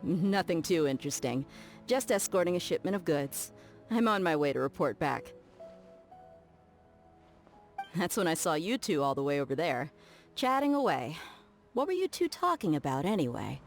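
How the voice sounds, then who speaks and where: A confident young mercenary woman in a video game, talks in a lowish voice. Her delivery is easygoing and friendly, with a teasing edge. Soft music sits behind her. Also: normal speed. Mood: casual. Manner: relaxed, teasing, friendly banter.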